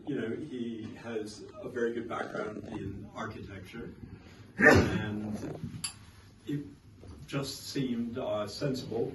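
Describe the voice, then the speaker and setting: A man speaking at a book launch, haltingly recounting how the author was introduced. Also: mid-range voice. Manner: halting, hesitant. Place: art gallery, indoors. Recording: echoey gallery, distant, noisy.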